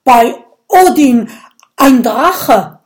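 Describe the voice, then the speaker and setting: A woman voicing a burly, blustering male Viking who is proud and quick to tears, with booming exclamations. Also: expressive. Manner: booming exclamations, quavering when upset.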